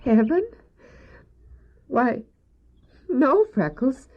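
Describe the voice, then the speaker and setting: A woman's voice: high-pitched, big pitch swings, very slow, exaggerated voice. A woman playing a kindly, pious, wealthy lady in an audio drama, speaking tenderly and earnestly. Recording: muffled old cassette drama, faint music.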